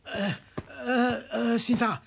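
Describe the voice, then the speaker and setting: A man's voice: very high voice, level tone, slow, creaky, a bit bright, slight background noise, full character voice. An actor playing a conniving male robber who feigns injury in a radio drama, with a Hong Kong Cantonese accent. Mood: feigned helplessness. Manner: hesitant groaning that turns to taunting.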